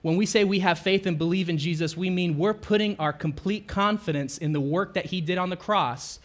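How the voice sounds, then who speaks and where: A man's voice: high voice, brisk. Chatty man preaching a casual sermon. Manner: conversational, self-correcting, asks the congregation questions. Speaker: pastor. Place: church sanctuary.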